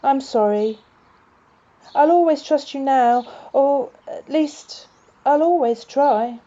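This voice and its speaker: A woman's voice: high-pitched, slow pace, mellow, muffled recording, pitched above the performer's own voice. A woman voicing an impatient, petulant young male bunny in a children's storybook. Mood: apologetic. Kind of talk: children's storybook character reading.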